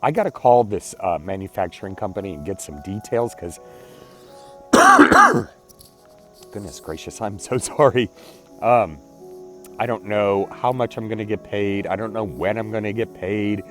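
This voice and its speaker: Congested chatty man with lively pitch. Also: a little slow, long pauses, soft background music. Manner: chatty, apologetic, laughs often. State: congested, clearing throat. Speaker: vlogger. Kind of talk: casual daily vlog.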